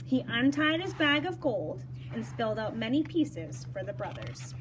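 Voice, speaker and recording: A woman reading a children's story aloud in an animated way, acting out dialogue and pausing to explain words. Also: fairly high pitch, background noise. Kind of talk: expressive read-aloud with brief explanations for children.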